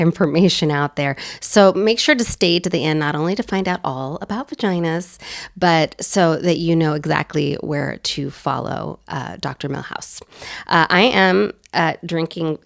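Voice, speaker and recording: A woman hosting a casual sex-education chat, hoarse and raspy from a cold, upbeat and playful. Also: deep voice, dry recording, clean studio sound. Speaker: host.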